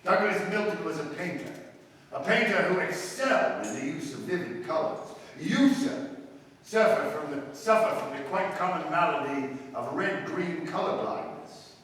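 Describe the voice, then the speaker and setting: A man's voice: a little high, animated, moderate pace, a bit bright. Man acting commanding detective, echoey hall. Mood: accusing, triumphant. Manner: formal, assured, precise. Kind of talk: old-time radio drama acting. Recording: echoey, distant, noisy radio play recording.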